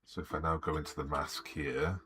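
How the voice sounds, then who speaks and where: An older man streaming live from his home studio, muttering to himself as he works, grumbling at his software and chatting with viewers. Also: a bass voice, fairly even intonation, a little fast, noisy background. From Wales. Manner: mutters to himself while working, grumbles, then greets chat viewers. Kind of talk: live art stream chat. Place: indoor home studio at a desk. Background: background music.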